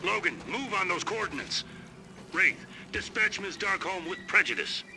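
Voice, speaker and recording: An actor playing a cold, ruthless military commander in a video game, giving orders in a clipped, commanding way. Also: male voice, sits high, animated, normal speed, vocal fry, background noise. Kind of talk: video game cutscene acting. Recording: game music behind the voice.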